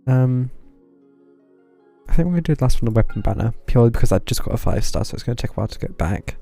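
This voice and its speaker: A man giving casual, low-key live commentary on a game, half talking to himself as he plays. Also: lively intonation, fast talker, vocal fry, dark tone. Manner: low-key, muttering to self while playing. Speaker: game streamer. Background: game music.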